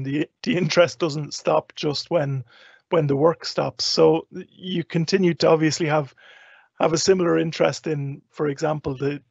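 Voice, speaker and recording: A man's voice: on the high side, vocal fry, husky, dry recording. An Irish man hosting an online live event in a conversational, affable, slightly rambling way. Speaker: host. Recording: dull, lo-fi online call audio.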